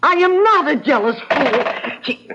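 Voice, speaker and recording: An emotional, accusing heroine in a radio mystery play, very high in pitch. She speaks in halting, indignant bursts, defiant and horrified, on a dull lo-fi recording. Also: expressive, background noise. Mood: urgent pleading. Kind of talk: old-time radio drama acting.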